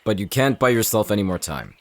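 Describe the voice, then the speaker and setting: Man playing a deep-voiced smug villain hunter. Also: very animated, fairly quick, no echo. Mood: smug, sneering. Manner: sneering, taunting, smug. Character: cocky, contemptuous male shifter-hunting villain. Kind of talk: audio roleplay drama acting.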